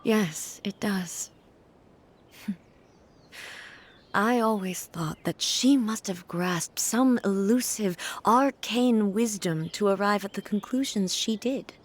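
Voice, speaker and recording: A woman voicing a composed, solemn deity ruler who speaks in a measured, formal, contemplative way, in a game. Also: mid-range voice, a little hiss or hum, natural voice.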